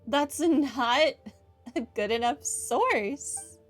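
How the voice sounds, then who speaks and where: A woman's voice: high-pitched, animated, very slow, soft background music. A woman hosting a game playthrough, giving casual commentary with wry, sarcastic asides. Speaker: video game playthrough host. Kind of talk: casual game commentary, reading dialogue aloud.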